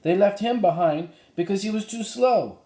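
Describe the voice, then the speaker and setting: A man's voice: high voice, moderate pace, mellow, pitched above the performer's own voice. A man voicing a bold, cheerful young girl in a storybook, eager and earnest. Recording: roomy, echoey sound.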